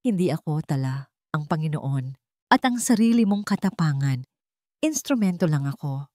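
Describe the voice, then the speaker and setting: Caring male doctor as read by a woman, humble and gentle, expressive storybook lines, dry recording. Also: low voice, moderate pace, dry recording. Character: kind, humble male doctor, soft-hearted.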